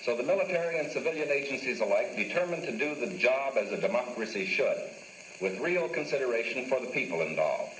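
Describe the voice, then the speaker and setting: A man reading formal, scripted 1940s newsreel narration in a measured, authoritative official tone. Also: mid-range voice, lively intonation, fairly bright tone, a little hiss or hum, low-quality recording. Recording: old 1940s newsreel soundtrack with music. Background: music score.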